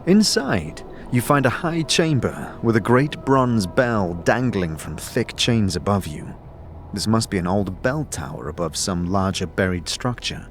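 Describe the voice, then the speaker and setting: A man narrating an audio drama in a measured, descriptive, scripted storytelling style. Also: lowish voice, very animated. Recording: clear music behind the voice.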